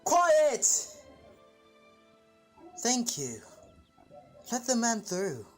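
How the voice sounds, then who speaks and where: An actor voicing a bossy, excitable teenage schoolboy in an audio drama, in a commanding, exclaiming manner. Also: medium pitch, very slow, soft background music, exaggerated voice. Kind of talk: scripted audio drama acting.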